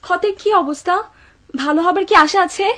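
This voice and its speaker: A high, courteous young woman's voice for a resolute servant in a cartoon folk tale. She sounds composed and respectful. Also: fairly quick, pushed and bright. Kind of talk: cartoon dub acting.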